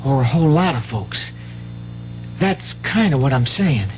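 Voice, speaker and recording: A man's voice: medium pitch, expressive, measured pace, lightly acted. An actor playing a cautious, kindly teenage country boy with hesitant, folksy speech in a radio drama. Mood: uneasy. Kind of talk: 1970s radio drama acting. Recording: dull, hissy old radio broadcast.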